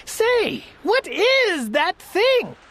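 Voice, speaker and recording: A woman's voice: very high-pitched, very animated, extremely slow, fairly bright tone, voice pushed up, exaggerated voice. A woman voicing a proud, blustering male turtle king in a storybook reading. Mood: indignant.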